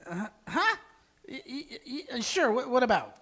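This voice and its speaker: Woman acting a dim excitable guy, very high, wildly swooping pitch, stammering, yelping, sitcom read. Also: a little slow, full character voice. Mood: flustered. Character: excitable, dim, flustered man bowling. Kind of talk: live comedy table read of a sitcom script.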